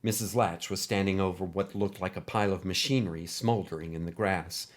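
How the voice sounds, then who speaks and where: A man's voice: deep voice, a little fast. A man reading a scripted adventure story as its narrator.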